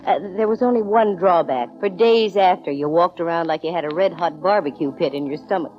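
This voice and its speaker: Low-voiced, wisecracking lady detective played by an actress. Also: brisk, soft background music, lightly acted. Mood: wry narration. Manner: dry, wisecracking, self-assured. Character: wry, breezy young female private detective narrator. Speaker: lead actress in radio detective series. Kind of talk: 1940s radio acting. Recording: dull vintage radio recording.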